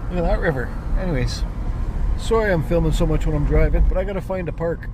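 A man's voice: a little high, fast. A man talking to the camera while he drives on a road trip, rambling and correcting himself, swearing now and then, with the car's faint hum behind him. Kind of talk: casual chat while driving. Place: inside a car on the road. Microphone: phone camera mounted on the dash. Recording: faint car noise behind.

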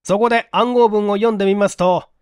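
A man voicing a courteous, earnest police detective chief explaining things in a measured, polite way in an audiobook. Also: high-pitched, fairly even intonation, clear, resonant tone, dry recording, clean studio sound, natural voice. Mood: explanatory. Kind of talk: dramatic audiobook dialogue.

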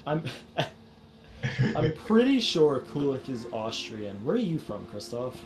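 A man of middle pitch talks casually with fellow players, explaining things with fillers and restarts. His tone is plain and friendly. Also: big pitch swings, a little slow, darkish tone, soft background music, poor audio. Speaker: sim racing driver. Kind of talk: casual chat over voice chat.

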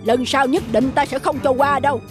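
A woman's voice: high-pitched, steady tone, fairly quick, close to an everyday voice. A woman voicing a menacing cartoon wolf who gloats and snarls threats, with music behind. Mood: angry threats. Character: menacing, gloating wolf villain hunting a young donkey. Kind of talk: cartoon voice acting.

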